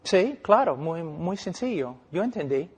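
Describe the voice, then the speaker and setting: A man's voice: on the high side, sing-song, measured pace, slightly rough, exaggerated voice. A man voicing a big, frightened dying backwoods rancher in a preacher's story, with blunt short statements, in a reverberant hall. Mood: plain, resigned.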